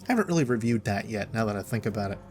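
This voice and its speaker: A man's voice: lowish voice, lively intonation, racing, a little mellow. A man delivering an opinionated, wordy monologue as a gaming commentator, talking in a semi-improvised way. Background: background music.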